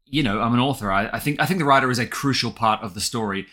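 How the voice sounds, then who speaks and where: An Australian man talking reflectively and articulately in an interview, with an animated storytelling way about him. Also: medium pitch, fast. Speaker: guest author and audio drama writer.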